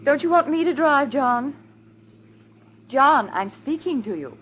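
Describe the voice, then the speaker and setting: An actress playing a doctor's concerned, sensible wife in a 1940s radio drama. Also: female voice, sits high, lively intonation, unhurried, a bit bright, dull audio. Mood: insistent. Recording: lightly hissy vintage radio recording.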